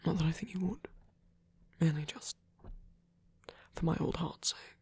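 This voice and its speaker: A man playing a gentle, tender old vampire priest in an intimate ASMR roleplay, speaking slowly and hesitantly in a soft, breathy whisper. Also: a little high, close to an everyday voice. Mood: earnest, vulnerable. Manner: slow, hesitant, reassuring, softly formal phrasing.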